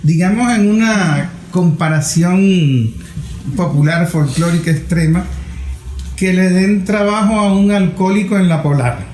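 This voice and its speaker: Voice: mid-range voice, unhurried, darkish tone. An interviewer talking in a conversational interview. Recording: echoey room, faint music.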